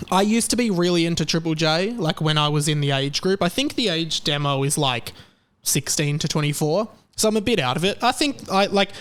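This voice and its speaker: A man's voice: on the high side, smooth. A young Australian man hosting his solo podcast in a chatty, rambling way, with fillers. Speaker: podcast host. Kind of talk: casual solo podcast monologue.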